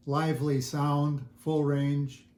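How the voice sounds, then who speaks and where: An older man chatting casually in an interview, explaining things in a halting, thoughtful way. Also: on the high side, level tone, a little mellow. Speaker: interview guest, audiophile hobbyist. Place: indoors at home listening room. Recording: roomy, echoey home recording.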